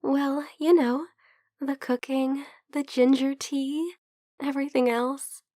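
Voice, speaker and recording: Soft, high-voiced, unhurried vampire girl played by a woman. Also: steady tone, no echo, studio quality. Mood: warm, thankful. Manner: soft, hesitant, playfully teasing. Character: sick, gentle, teasing female vampire friend. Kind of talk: soft-spoken ASMR roleplay.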